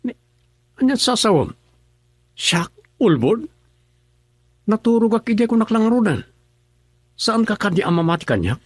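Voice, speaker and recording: A defensive young husband , played by a man, with a fairly high voice and an Ilocano accent, indignant when questioned. He is recorded up close with a dry, dead sound. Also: very animated, normal speed, long pauses, breathy edge, no echo, exaggerated voice. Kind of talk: radio drama acting.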